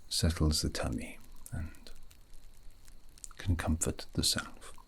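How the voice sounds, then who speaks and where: A man playing a gentle, wistful elven dream mage in an ASMR fantasy roleplay, murmuring slowly and poetically in a breathy, gravelly half-whisper. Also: very deep voice, slight background noise, exaggerated voice. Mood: musing, gentle. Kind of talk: ASMR fantasy roleplay, soothing sleep guidance.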